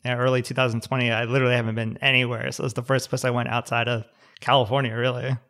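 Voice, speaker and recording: A man speaking as a guest investor on a casual podcast, relaxed and matter-of-fact. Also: mid-range voice, quick pace.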